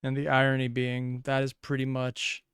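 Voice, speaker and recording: Clear, dry-sounding man giving reaction commentary. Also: medium pitch, monotone, normal speed, fairly bright tone, dry recording. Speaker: reaction video host. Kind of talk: casual reaction commentary with over-analysis.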